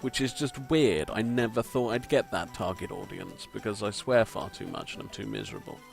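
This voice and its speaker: A man with a croaky voice, giving rambling, self-deprecating gameplay commentary from a home mic and swearing casually. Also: lowish voice, big pitch swings, normal speed, faint music behind. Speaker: let's play commentator. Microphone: home desk microphone.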